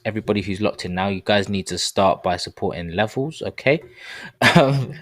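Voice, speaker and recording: A man's voice: lowish voice, moderate pace, fairly bright tone, no echo, studio quality. A man in his thirties co-hosting a casual discussion podcast, talking in an earnest, rambling way with promotional asides. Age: 30s. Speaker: podcast co-host.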